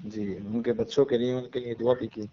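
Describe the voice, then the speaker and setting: A man, an imam, speaking on a phone call interview in a measured, preachy way, slowing down to stress moral points. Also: mid-range voice, little pitch movement, a little hiss or hum. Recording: muffled phone call audio.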